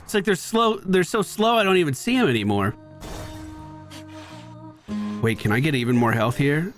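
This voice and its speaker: A man's voice: fairly high pitch, animated, normal speed, soft background music. A man giving casual gameplay commentary as host of a gaming video. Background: video game sounds.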